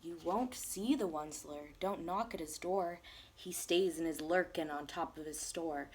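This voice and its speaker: A woman's voice: lowish voice, measured pace, a little hiss or hum. A woman teacher reading a rhyming children's storybook aloud with plenty of expression.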